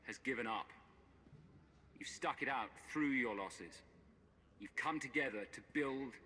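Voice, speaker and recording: Earnest hero commander, mid pitch, forceful and resolute, game cutscene. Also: male voice, a little hiss or hum. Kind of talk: dramatic game cutscene acting.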